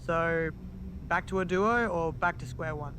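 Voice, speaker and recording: A woman's voice: fairly low pitch, measured pace, lightly acted. Woman playing a frank teasing lady wrestler sidekick, wry and chatty, video game cutscene, noisy behind. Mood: wry, questioning. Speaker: tag team partner. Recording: game audio with clear background noise.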